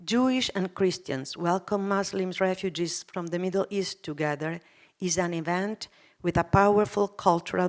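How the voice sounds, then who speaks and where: A woman speaks from a prepared text at a conference panel, with a low voice. Her delivery is measured and formal. Now and then her phrasing sounds non-native.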